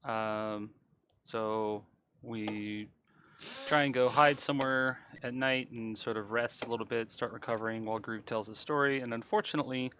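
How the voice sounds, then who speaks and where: A man's voice: medium pitch, steady tone, moderate pace, slight background noise. A man giving casual, explanatory live commentary as he speedruns a video game, often hesitating as he goes. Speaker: speedrunner commentating on own run. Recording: loud game music behind. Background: video game music and car engine sounds.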